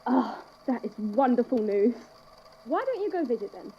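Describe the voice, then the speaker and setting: A woman playing a composed, wry institute head in a scripted audio drama, speaking in a measured, conversational way. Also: on the high side, very animated, highs cut off, a little hiss or hum. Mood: warm, reassuring. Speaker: podfic voice actor, institute head narrating a statement.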